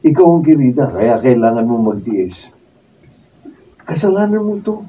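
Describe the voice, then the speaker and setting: A man's voice: mid-range voice, very animated, moderate pace, dark tone, slightly rough. A Filipino man acting an evasive, dismissive cheating husband in a radio drama. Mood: dismissive, irritated. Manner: defensive, dismissive. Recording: muffled distant mic, faint noise.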